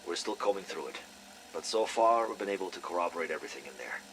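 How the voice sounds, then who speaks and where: Very deep, stern intelligence agent. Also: male voice, lively intonation, fast, a bit bright, a little hiss or hum, poor audio. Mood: businesslike. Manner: terse, businesslike. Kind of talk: cinematic video game voice acting.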